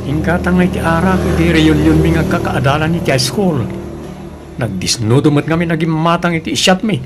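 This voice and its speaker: A man's voice: fairly high pitch, fairly quick, over loud music, close to an everyday voice. An actor playing a skeptical, teasing male friend who lends money in a comic radio drama, questioning as he talks. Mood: explaining. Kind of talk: comedic radio drama acting.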